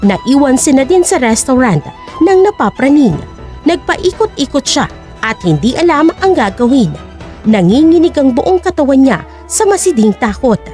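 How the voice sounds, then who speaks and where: High-pitched animated woman storyteller. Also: moderate pace, faint music behind. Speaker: audio drama narrator. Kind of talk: dramatic scripted narration.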